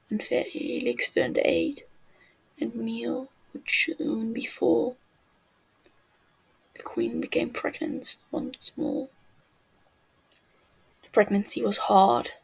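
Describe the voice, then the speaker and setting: A woman's voice: on the high side, vocal fry, husky, poor audio. A woman reading a scripted fantasy tale as a podfic narrator.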